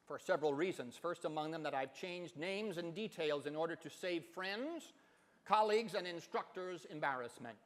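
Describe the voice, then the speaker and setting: A man with a fairly high voice reads literature aloud in a reverberant hall. His phrasing is deliberate and theatrical, swelling for emphasis as in a staged dramatic reading. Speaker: professor giving a dramatic reading.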